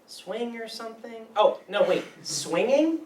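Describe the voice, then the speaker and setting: An earnest, lovestruck young guy played by an actor in a play reading, awkward and hesitant. The pitch moves around a lot, and the voice is picked up from a distance in an echoey room. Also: expressive, measured pace, darkish tone, natural voice. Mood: chatty, eager. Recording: roomy, distant mic, faint noise.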